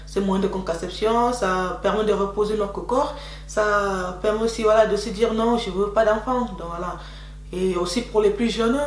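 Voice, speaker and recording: A woman's voice: mid-range voice, darkish tone. Chatty woman in an echoey room. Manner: chatty, repetitive, frequent "donc voilà" fillers. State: slightly congested from a cold. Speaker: beauty vlogger. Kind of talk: casual advice vlog. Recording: echoey room with faint hum.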